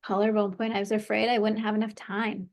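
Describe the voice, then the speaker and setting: A woman coaching a client through tapping, speaking in an encouraging tone and calling out phrases for her to say back. Her voice carries a noticeable gravelly fry. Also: medium pitch, little pitch movement, fairly quick. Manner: encouraging, leads phrases for repeating. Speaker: tapping practitioner. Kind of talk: guided tapping session.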